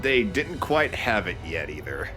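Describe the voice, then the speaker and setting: A man's voice: lowish voice, sing-song, a little slow. A man chatting casually over a video game as a co-commentator, blunt and informal in how he talks. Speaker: let's play co-commentator. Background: video game music.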